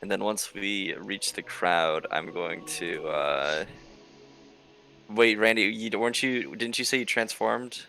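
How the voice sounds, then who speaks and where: A man's voice: lowish voice, takes it slowly, gravelly, pushed and bright. A man chatting casually as a player in a tabletop roleplaying game, describing his moves. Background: background music.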